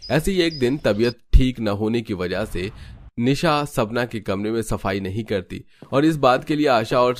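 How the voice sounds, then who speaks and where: A man reading scripted narration for a family-drama cartoon story. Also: medium pitch.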